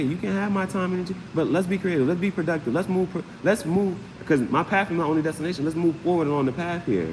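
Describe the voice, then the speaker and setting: A man giving a casual, off-the-cuff live tarot reading as host, often repeating phrases and peppering his talk with slang and sound words. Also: a little high. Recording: roomy long reverb, clear steady background noise.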